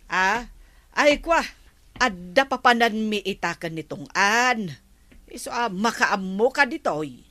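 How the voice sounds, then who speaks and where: A woman's voice: mid-range voice, animated, takes it slowly, pushed and bright. An actress playing a vain village woman obsessed with whitening her skin in a radio comedy drama, with an Ilocano accent. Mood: unbothered, insistent.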